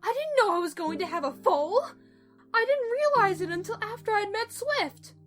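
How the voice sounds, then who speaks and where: A woman's voice: very high voice, lively intonation, moderate pace, fairly bright tone, faint music behind. A woman playing an earnest, protective mother pony in an emotional audio drama, speaking confessionally. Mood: ashamed, confessing.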